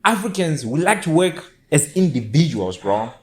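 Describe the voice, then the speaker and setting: A young man from Zimbabwe hosting a podcast, talking in a relaxed, earnest way and saying "bro" and "man" all the time. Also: a little high, moderate pace. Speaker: podcast host. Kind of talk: casual podcast interview.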